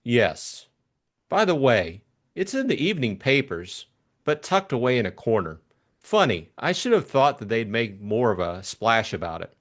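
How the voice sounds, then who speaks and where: A man voicing a breezy, eager young upper-class gentleman in an audiobook mystery, with chummy, exclamatory, slangy talk. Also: medium pitch, big pitch swings, moderate pace. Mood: chummy, earnest.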